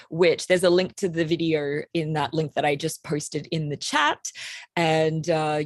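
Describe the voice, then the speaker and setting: A woman's voice: low voice, no echo. A woman in her forties with an Australian accent, talking chattily as a composer on a panel over a video call, with many ums and restarts.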